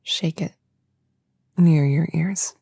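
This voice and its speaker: Slow, breathy, low-voiced novice witch played by a woman. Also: warm tone, dry recording, exaggerated voice. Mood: musing, casual. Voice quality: breathy, often dropping into whispers. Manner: hesitant, casual, self-correcting. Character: friendly young novice witch, casual and slightly bumbling. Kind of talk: soft-spoken ASMR roleplay.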